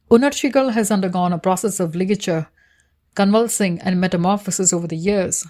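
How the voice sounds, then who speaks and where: A woman whose first language is Tamil, reading her academic thesis aloud in a measured way, citations and page numbers included. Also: on the low side, a little mellow. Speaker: researcher explaining her thesis.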